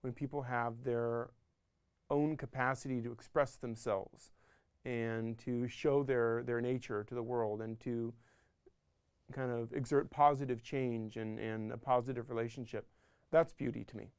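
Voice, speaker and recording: Reflective man in his thirties, clear voice. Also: mid-range voice, steady tone, unhurried, a little mellow, dry recording, studio quality. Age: 30s. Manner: reflective, repeats words as he thinks. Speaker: interviewee. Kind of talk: documentary interview.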